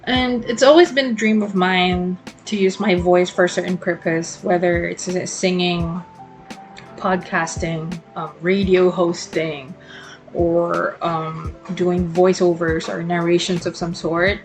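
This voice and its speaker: Low-voiced young woman musing. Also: flat delivery, a little slow, faint music behind. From Philippines. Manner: rambling and reflective, many fillers and self-corrections. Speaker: podcast host. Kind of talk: casual solo podcast monologue.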